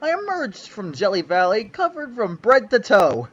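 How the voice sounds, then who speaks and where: A man's voice: high voice, huge pitch swings, normal speed, lo-fi sound. A young man giving a mock-dramatic reading of a fanfic on a livestream, with a theatrical, sweeping storyteller delivery. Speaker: reader on a livestream.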